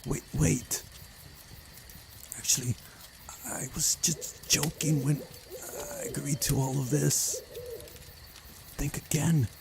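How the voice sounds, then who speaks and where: A man's voice: on the high side, slow, creaky, long pauses, background noise, voice pushed up. Man playing a weary, reluctant young man. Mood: pleading. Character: ordinary young man, weary reluctant visual novel hero.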